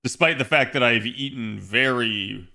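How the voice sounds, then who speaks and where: A man chatting casually with his live-stream viewers. His voice is a little hoarse and raspy, and he rambles, correcting himself often between fillers. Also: medium pitch, a little slow, sharp tone. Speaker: game streamer. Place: home.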